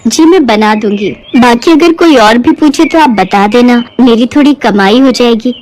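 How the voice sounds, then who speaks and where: A woman's voice: a little high, normal speed, darkish tone, close to an everyday voice. A woman voicing a humble, earnest village knitter who speaks politely and modestly in a Hindi moral-story cartoon. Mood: earnest, worried.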